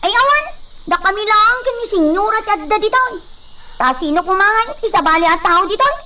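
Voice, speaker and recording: A woman's voice: extremely high pitch, animated, brisk, bright, forceful tone, slight background noise, exaggerated voice. An actress playing a feisty servant woman in an Ilocano radio drama, exclaiming and protesting defiantly. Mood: defiant, alarmed.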